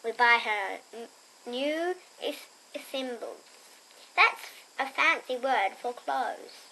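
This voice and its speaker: A young girl reading a picture book aloud expressively, with chatty, quieter asides to her listeners. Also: a little high, lively intonation, slow pace, gravelly, a little hiss or hum, low-quality recording. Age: child. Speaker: storybook reader and host.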